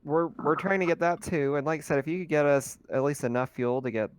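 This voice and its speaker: A man's voice: a little high, quick pace, clean tone, natural voice. A man playing a practical, insistent spacecraft hacker in a tabletop game, in an everyday voice.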